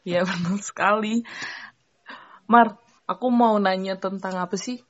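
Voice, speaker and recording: A young woman from Sumatra hosting a casual podcast chat, playful and quick to joke and laugh. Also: medium pitch, fairly even intonation, highs cut off. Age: young adult. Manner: playful, joking, laughs often. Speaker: podcast host.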